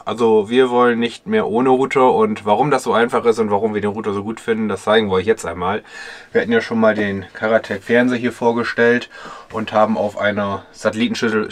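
A man in his thirties chatting casually while reviewing gear inside a camper van, explaining with lots of fillers. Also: on the low side. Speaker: vlogger. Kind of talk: casual product review vlog.

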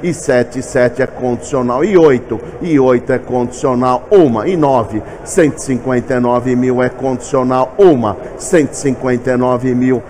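Fast-chanting Brazilian auctioneer man. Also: medium pitch, a little mellow, slight background noise. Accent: Brazilian Portuguese. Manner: rattles off bids in fast repetitive chant, calling out raises. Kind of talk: rapid vehicle auction calling.

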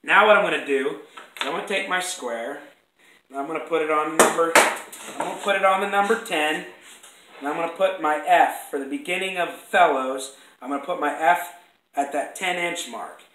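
A man in his thirties with a hoarse voice from a cold, casually explaining how he lays out a sign in his woodworking shop, rambling and correcting himself as he goes. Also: fairly high pitch, normal speed, pushed and bright, a little hiss or hum. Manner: rambling, self-correcting, apologetic asides. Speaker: woodworking instructor. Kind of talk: casual workshop demonstration. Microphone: fixed camera across the workbench. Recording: echoey shop room.

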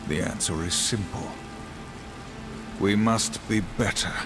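A proud, honour-bound horseman from a video game. He is stern and grave, with formal, declarative delivery, over loud music. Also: sits low, takes it slowly. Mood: solemn, resolute. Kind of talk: scripted video game dialogue. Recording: music behind the voice.

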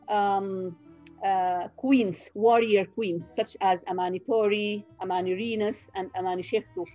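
Middle-aged sudanese woman, nubian first language, professor on a video call from home, mellow voice, lots of uh and restarts, muffled sound. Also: mid-range voice. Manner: measured, many fillers and restarts. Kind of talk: online guest lecture. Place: home room.

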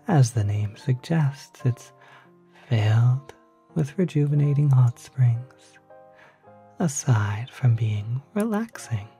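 An ASMR performer of unclear sex playing a courteous demon hotel concierge, speaking softly and politely, close to the microphone, often near a whisper. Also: mid-range voice. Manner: polite, unhurried, gently salesmanlike. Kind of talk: ASMR roleplay.

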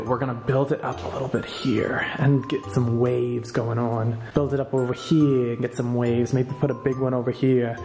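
A young man chatting in a campy, animated way as he decorates his living room, filming himself at arm's length. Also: mid-range voice. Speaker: vlogger. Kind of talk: casual home decorating vlog. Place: large bright living room. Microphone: camera held at arm's length. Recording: roomy room with music behind.

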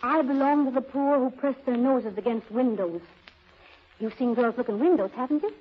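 On a thin, tinny old radio recording, a poor young woman hunting for a job. Her voice is high, earnest and pleading, with plucky eagerness in a theatrical 1930s manner. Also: normal speed, breathy edge. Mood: earnest, plaintive. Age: young adult. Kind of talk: 1930s radio drama acting.

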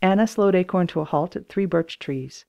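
A woman narrating a children's storybook audiobook in an expressive, acted-out reading style. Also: fairly low pitch, moderate pace, vocal fry, lo-fi sound. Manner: expressive, acts out dialogue in raised voices.

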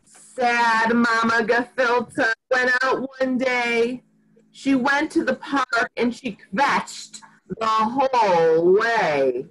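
A woman in her forties reading a picture book aloud to young children in an expressive, sing-song, deliberate way, recorded at home on a computer mic. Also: a little high, poor audio. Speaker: rabbi reading to preschoolers. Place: small furnished room at home. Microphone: computer microphone on a video call.

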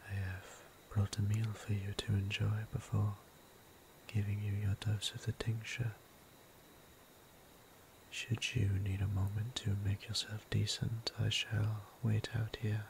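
A man voicing a gentle, courtly vampire priest in an ASMR roleplay, breathy and half-whispered, with formal, tender, old-fashioned phrasing. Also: on the low side, monotone, measured pace. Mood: polite, gentle. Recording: clear background noise and ambience.

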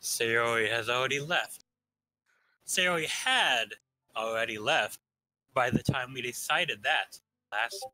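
A man's voice: mid-range voice, animated, slow pace, long pauses, bright, forceful tone, poor audio. A man reading scripted first-person narration from a visual novel as part of a group staged reading.

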